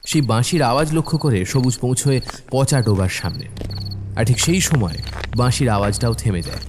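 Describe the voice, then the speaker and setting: A man's voice: medium pitch, animated, a little fast, noisy background. A man narrating a scripted horror cartoon as a dramatic storyteller.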